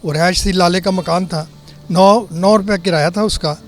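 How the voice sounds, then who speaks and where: An elderly man in his eighties tells his memories in an unhurried, homely way. His voice sits fairly high for a man. Soft music plays faintly under the interview. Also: steady tone. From born in Delhi, lives in Lahore. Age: 80s. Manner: unhurried storytelling, plain homely detail. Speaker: interviewee recalling 1947 migration. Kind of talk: reminiscing eyewitness account.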